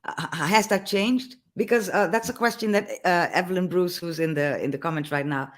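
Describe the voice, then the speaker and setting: A man's voice: sits high, brisk. A man hosting a live online interview over a video call, eager and enthusiastic, often stumbling over how he begins a question. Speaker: host.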